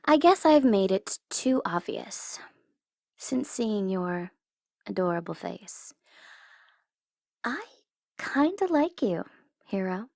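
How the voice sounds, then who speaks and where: A woman's voice: medium pitch, creaky, dry recording, studio quality, heavily acted. A woman playing a taunting, coy and commanding supervillain who is secretly smitten, in an ASMR audio roleplay. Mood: flustered, fond. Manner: taunting, coy, commanding, with drawn-out deliberate phrasing. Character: teasing, menacing tsundere female supervillain, secretly smitten.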